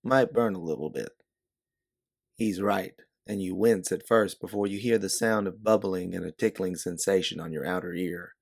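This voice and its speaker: Very deep, creaky male storyteller. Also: moderate pace. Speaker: narrator and author. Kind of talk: scripted second-person story narration.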